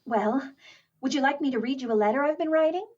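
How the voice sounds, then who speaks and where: A woman's voice: sits high, quick pace, darkish tone, natural voice. A woman playing an eager, chatty fifteen-year-old girl star in 1930s radio comedy, gushing and wide-eyed, in slightly dull audio. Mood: innocently cheeky.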